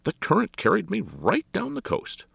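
Evasive male blusterer, played by a man: hurried excuses, sheepish protests, animated pitch with some gravel. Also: no echo, full character voice.

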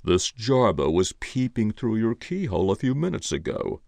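A man's voice: on the low side, big pitch swings, moderate pace, vocal fry, darkish tone, dry recording. A man voicing a cool, laconic investigator in an audiobook, terse and wry. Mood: probing. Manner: terse, mildly complaining, wry. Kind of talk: audiobook character voice.

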